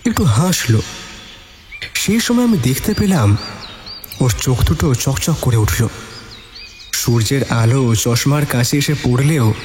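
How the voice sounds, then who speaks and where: A man with a slightly high, very animated voice tells a suspense story in dramatic scripted narration. His voice carries a reverberant echo. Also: slight background noise. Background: faint music.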